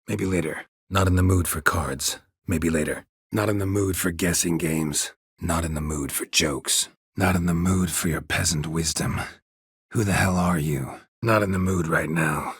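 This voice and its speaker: A man playing a gruff, stoic, sardonic monster-hunting mercenary in a video game, terse and deadpan with a gravelly voice. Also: deep voice, normal speed, no echo, studio quality, heavily acted. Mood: dry, guarded. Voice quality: gravelly, husky. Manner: terse, deadpan, clipped sentences. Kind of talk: video game dialogue acting.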